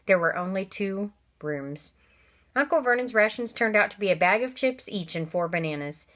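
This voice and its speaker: Brief: teacher reading a chapter aloud to kids, expressive, chatty asides, middle-pitched voice. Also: female voice. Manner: expressive, pausing for asides to listeners. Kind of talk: reading a novel aloud to children.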